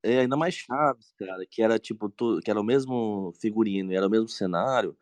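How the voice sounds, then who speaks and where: A Brazilian man with a lowish voice, recorded close and dry. He chats informally, with plenty of slang, like a panelist among fellow fans. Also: a little fast, dry recording. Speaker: panelist on a fan roundtable. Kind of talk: casual chat.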